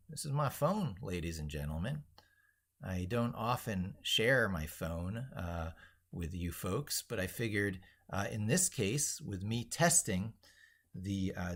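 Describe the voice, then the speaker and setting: Low-voiced man casually narrating a live demo. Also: fairly bright tone, slightly rough. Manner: rambling, thinking aloud while demonstrating. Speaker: live stream host. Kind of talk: casual live screen-share commentary. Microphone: speaking into a microphone.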